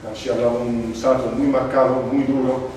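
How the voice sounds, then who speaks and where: A man from Sardinia, presenting a film to a cinema club audience, thoughtful and full of pauses and restarts. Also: medium pitch, little pitch movement, darkish tone. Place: cinema club screening room. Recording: echoey room, distant mic, noisy.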